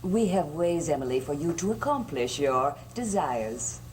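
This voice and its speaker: A woman's voice: deep voice, animated, a little slow, a little hiss or hum. An actress playing a scheming, silkily motherly middle-aged witch in a gothic soap opera, coaxing and theatrical. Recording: distant old TV audio under loud music.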